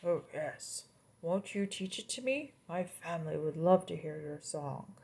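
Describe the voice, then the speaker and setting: A woman's voice: lowish voice, animated, a little slow, vocal fry, darkish tone, slightly rough, exaggerated voice. A woman voicing an eager, forgetful male coyote in a children's story, pleading and grumbling by turns.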